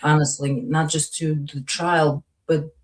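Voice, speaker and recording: Woman guest from Latvia. Low voice, unassuming, feels for her words in informal personal talk. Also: little pitch movement, a little slow. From Latvia, then part of the Soviet Union. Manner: modest, hesitant, searching for words. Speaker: interview guest. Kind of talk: casual personal conversation.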